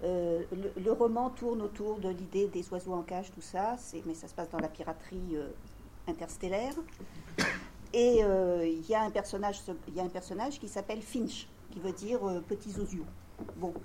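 A woman's voice: mid-range voice, moderate pace, background noise. A woman translator talking casually on a festival panel, with hesitations and fillers.

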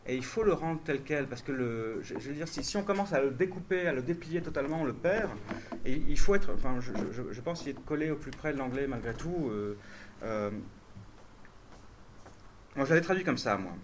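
A man's voice: medium pitch, expressive, fairly quick, a little hiss or hum. A man translator speaking on a festival panel, answering audience questions with many restarts and fillers. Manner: hesitant, restarts often, thinks aloud.

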